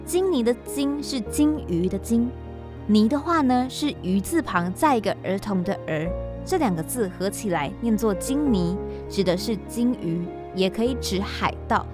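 A woman's voice: medium pitch, lively intonation, moderate pace. A woman with a Taiwanese Mandarin accent hosting a podcast and introducing it in a casual, explanatory way. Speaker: podcast host and scriptwriter. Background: background music.

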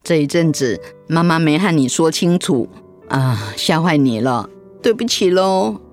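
An actress playing a gentle, hesitant middle-aged mother in a radio drama. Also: deep voice, expressive, unhurried, soft background music. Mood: gentle, reassuring. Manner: gentle, hesitant, conversational with soft fillers.

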